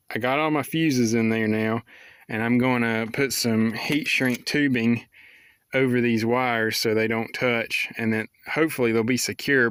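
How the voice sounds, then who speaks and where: A man's voice: medium pitch, a bit bright, clean tone, dry recording. Man, dry close sound, casual how-to. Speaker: vlogger showing a DIY repair.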